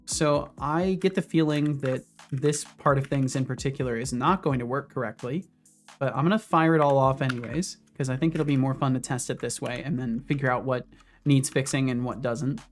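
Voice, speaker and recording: A man's voice: medium pitch, moderate pace. A man in his thirties casually chatting with his stream viewers while he codes, with faint music behind him. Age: 30s. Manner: relaxed, talks to chat between coding asides. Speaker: streamer and game developer. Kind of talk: casual livestream chat while coding. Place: home office.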